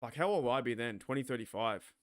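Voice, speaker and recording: An Australian man hosting a sports watch party stream, rambling excitably, swearing and talking back to his chat. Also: mid-range voice, fast. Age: 40s.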